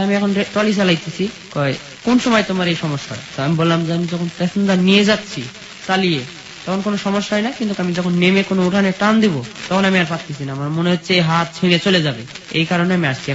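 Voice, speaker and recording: A man's voice: sits high, quick pace. A young man from rural Bangladesh telling his life story as a guest, in plain colloquial speech, quoting conversations as he goes. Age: young adult. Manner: plain colloquial village speech, recounting step by step. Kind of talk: personal storytelling in an interview, quoting dialogue. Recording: dull sound with steady sizzling noise.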